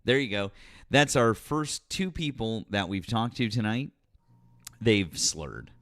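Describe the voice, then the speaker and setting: A man's voice: lowish voice, animated, slow pace, a bit bright, no room sound, clean studio sound. A man hosting a live call-in talk show, blunt and profane with callers. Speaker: show host.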